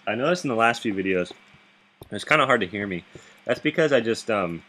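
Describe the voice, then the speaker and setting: A man with a very deep voice giving rambling gameplay commentary from his bed into a laptop microphone, chuckling often and restarting his sentences. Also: expressive, a little fast, pushed and bright. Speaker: gaming video host. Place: bedroom, sitting up in bed.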